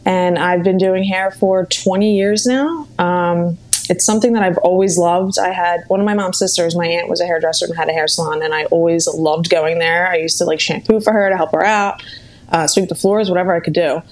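A woman in her late thirties from Pennsylvania, whose first language was Italian, chatting as a guest in a relaxed, friendly way. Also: lowish voice, fairly quick. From Pennsylvania, USA. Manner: relaxed, friendly, laughs easily. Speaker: hairdresser and guest. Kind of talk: casual interview chat.

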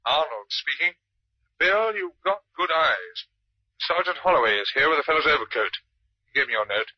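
An actor playing a matter-of-fact, emphatic police doctor heard through a telephone effect in an old radio drama. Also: male voice, fairly high pitch, sing-song, moderate pace, no room sound, muffled recording. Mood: certain, businesslike. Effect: telephone filter. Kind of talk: old-time radio acting.

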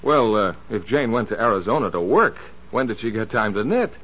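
Raspy guy announcer, scripted old time radio patter, dull recording. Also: fairly low pitch, expressive, brisk. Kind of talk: scripted 1940s radio announcing and promotional chat.

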